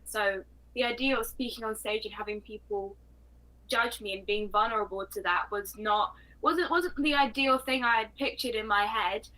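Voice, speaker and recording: A teenage girl from London speaks with a British accent in a bright, fairly high voice. She is a talkative young motivational speaker, explaining herself in a casual interview. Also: normal speed, low-quality recording. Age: 14 years old.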